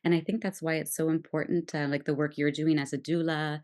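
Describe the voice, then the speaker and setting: A woman's voice: low voice, little pitch movement, brisk, darkish tone. A woman in her thirties with a Canadian accent, talking reflectively about herself as a podcast guest in a thoughtful, hesitant, rambling way. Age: 30s. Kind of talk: reflective personal conversation.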